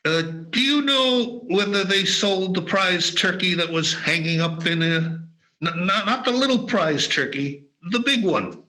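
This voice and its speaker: A man's voice: high voice, expressive, unhurried, bright, forceful tone, full character voice, low-quality recording. An actor playing a giddy, overjoyed old man in a radio play, exuberant and stammering. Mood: eager delight. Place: performed remotely over a video call.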